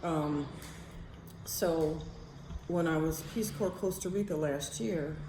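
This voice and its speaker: A woman with an American accent and a fairly low voice talks off the cuff like a vlogger. She is candid and blunt, working through her thoughts aloud. The room is noticeably echoey, with faint noise underneath. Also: slow pace. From United States. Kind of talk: casual rambling personal storytelling. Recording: roomy echo, faint background noise.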